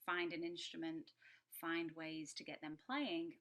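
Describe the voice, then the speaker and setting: A middle-aged woman from Yorkshire speaks with a plain Yorkshire accent in a low voice. Her tone is bright and forward. She talks in a relaxed, chatty way over a remote call, with lots of ums and small restarts. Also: measured pace. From Yorkshire, England. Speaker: guest brass educator. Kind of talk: relaxed conversational self-introduction.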